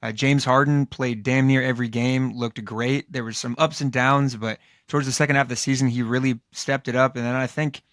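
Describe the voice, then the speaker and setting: Hoarse man rambling through a sports recap. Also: medium pitch, fairly even intonation, fairly quick, hardly any pauses, fairly bright tone, no echo, studio quality. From Los Angeles area, United States. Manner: loose, rambling, with many fillers and restarts. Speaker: podcast co-host. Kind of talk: casual sports podcast talk.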